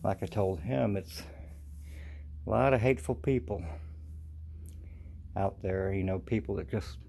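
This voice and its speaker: A man with an American accent chatting casually and haltingly for a video, his articulation a little slurred from missing teeth. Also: fairly low pitch, gravelly, dark tone. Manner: hesitant, halting, folksy. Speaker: vintage audio collector presenting his channel. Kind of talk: casual unscripted video chat.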